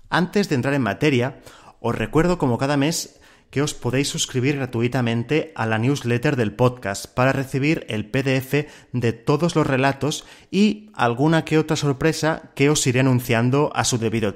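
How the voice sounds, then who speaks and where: A man speaks as the host and narrator of a fiction podcast. His voice sits at a medium pitch, and the delivery is scripted storytelling for listeners.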